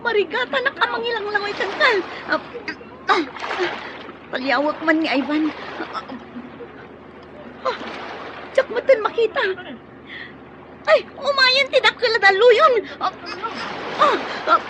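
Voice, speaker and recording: A woman's voice: extremely high pitch, expressive, unhurried, pauses often, noisy background. An actress with an Ilocano accent playing a frantic, bossy woman in danger, urgent and scolding, in a radio drama. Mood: panicked, straining. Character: frantic, bossy woman fleeing a sinking ferry.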